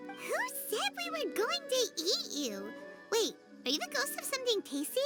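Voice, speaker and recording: A woman voicing a small, childlike fairy companion in a video game, excitable and bossy, with a squeaky voice. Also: moderate pace, full character voice. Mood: curious. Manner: refers to herself in third person. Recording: music playing under the voice.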